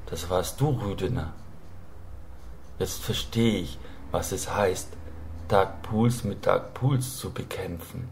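Tiefe Männerstimme als Erzähler. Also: male voice, deep voice, slow, a little hiss or hum. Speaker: narrator. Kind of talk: scripted narration, dramatic monologue and storytelling. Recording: loud music over the voice.

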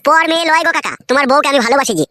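A woman's voice: sits high, racing, pushed and bright, dry recording, clean studio sound. A woman voicing a brash young man playing secret lover in a cartoon comedy, boastful and crude, with a rural West Bengal accent. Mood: cheeky.

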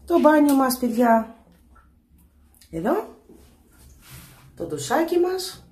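A woman's voice: sits high, very animated, warm tone. A woman filming a casual family room tour, commenting in short remarks and exclamations. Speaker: mother filming a family travel vlog. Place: small stone-walled holiday apartment. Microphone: behind a handheld camera. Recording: faint background noise.

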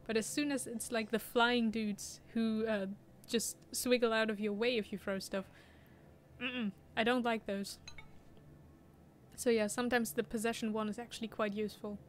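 A young woman with a German accent chats through a video game stream, laughing often and reading out viewer questions. Her voice sits on the higher side, with a faint hiss under it. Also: flat delivery, moderate pace. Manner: chatty, laughs often, reads chat aloud. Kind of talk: casual gameplay commentary.

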